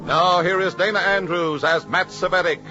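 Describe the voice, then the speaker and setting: A man's voice: high-pitched, fairly even intonation, normal speed. A man announcing a 1950s radio drama in a formal, emphatic style. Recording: muffled 1950s radio recording. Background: loud brass music.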